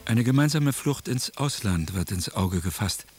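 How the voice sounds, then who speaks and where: A man narrates a radio drama from a script. His voice is low and husky, with a factual documentary delivery. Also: animated, normal speed, soft background music.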